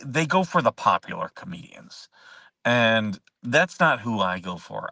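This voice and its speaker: A man's voice: lowish voice, sharp tone, no echo, far from the mic. A man hosting a casual live chat show, rambling, chuckling often and answering viewer comments as he goes. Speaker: host.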